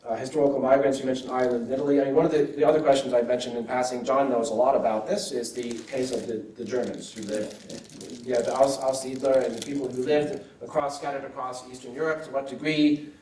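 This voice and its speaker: A male academic answers audience questions at a measured, thoughtful pace, often adding a checking "right?". His voice is mellow and a little dark. It sounds distant from the mic, in a reverberant room. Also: medium pitch, fairly even intonation, slight background noise. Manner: thoughtful, frequent "right?" tags.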